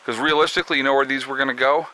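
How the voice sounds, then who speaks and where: Chatty man with a bright, forceful voice. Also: medium pitch, fairly even intonation, racing, low-quality recording. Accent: American Northeast. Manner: rambling, chatty, with many fillers and restarts. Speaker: homesteading vlogger. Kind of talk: casual walk-and-talk vlog. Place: outdoors on a rural homestead.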